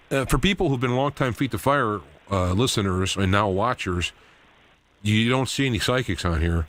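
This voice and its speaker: A middle-aged man hosting a talk show, speaking off the cuff in a rambling, halting way. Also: low voice. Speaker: radio show host. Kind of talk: unscripted talk show monologue.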